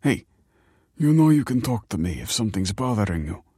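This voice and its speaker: A kindly old grandfather from an audiobook, acted by a male narrator. His voice is husky and sits higher than the narration. He talks in a wry, reassuring way, and the sound is dry. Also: very animated, a little fast, mellow, no room sound. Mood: gently reassuring. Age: older. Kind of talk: audiobook character dialogue.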